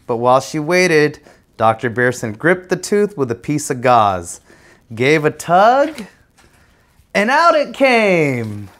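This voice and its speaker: A man's voice: on the high side, very animated, slow pace, fairly bright tone. A man narrating a children's storybook aloud as a guest reader.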